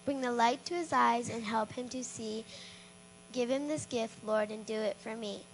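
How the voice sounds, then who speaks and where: A woman voicing a sweet, timid little girl who speaks gently and earnestly in a storybook. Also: a little high, normal speed, a little hiss or hum, close to an everyday voice, rough recording. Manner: gentle, earnest prayer-like speech.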